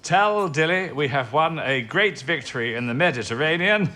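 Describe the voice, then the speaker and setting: Crisp, bright formal official. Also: male voice, a little high, animated, moderate pace. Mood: pleased. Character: genial, formal British male wartime intelligence official. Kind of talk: period audio drama acting.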